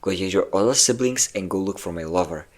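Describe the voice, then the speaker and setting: A man's voice: low voice, fast talker, natural voice. A man playing a possessive, obsessive centuries-old vampire in a dramatic romantic audio roleplay, intense and theatrical in delivery. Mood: cold fury. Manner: intense, theatrical, swinging between tender and threatening. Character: possessive obsessive five-hundred-year-old male vampire lover, menacing, volatile.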